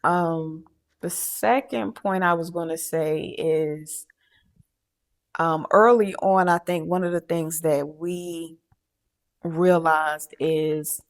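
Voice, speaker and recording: Low-pitched woman, unhurried, halting delivery full of "um"s; casual podcast co-host, middle-aged. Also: fairly even intonation. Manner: halting, many fillers and restarts. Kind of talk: casual chat.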